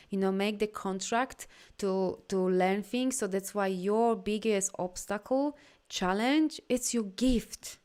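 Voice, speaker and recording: A woman with a Polish accent, an energy healer and coach, talking live to her viewers and answering comments in a heartfelt, affirming way. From Poland. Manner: heartfelt, affirming, rises in pitch and volume when emphatic.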